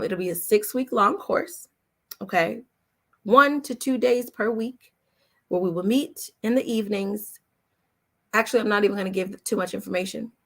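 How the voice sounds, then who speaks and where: Woman, mid-pitched voice, upbeat, encouraging, casual live coaching, frequent check-ins. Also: vocal fry, a bit bright. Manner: checks in often with "okay?" and "all right?". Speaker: voice-over coach hosting a live Q&A. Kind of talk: casual livestream talk with promotion.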